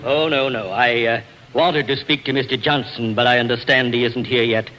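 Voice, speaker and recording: An actor playing a fussy, polite, slyly meddling bank official in a 1940s radio drama. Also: male voice, mid-range voice, fairly even intonation, moderate pace, music in the background, lightly acted, low-quality recording. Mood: polite, officious. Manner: fussy, deferential. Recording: hissy 1940s radio broadcast.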